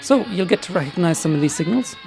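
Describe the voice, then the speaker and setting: A man's voice: high-pitched, expressive, quick pace, creaky, over music, poor audio. A man giving a casual screen-share tutorial, thinking aloud and explaining step by step in an informal, exploratory way. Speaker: tutorial presenter. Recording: shortwave radio noise and chatter behind. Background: radio static, signals and faint voices.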